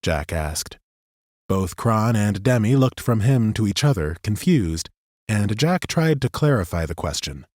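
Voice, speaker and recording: A male narrator reading a fantasy novel aloud in a studio booth. His voice sits fairly low, and his storytelling is steady and even. The sound is dry and close to the mic. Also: unhurried, no room sound. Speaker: audiobook narrator. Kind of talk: scripted fantasy audiobook narration.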